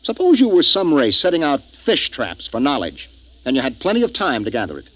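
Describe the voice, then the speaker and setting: A decisive American spaceship captain in vintage radio drama. His pitch moves widely as he broods and reasons, with the sound of a 1950s radio recording. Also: huge pitch swings, brisk, fairly bright tone, low-quality recording. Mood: intent, reasoning.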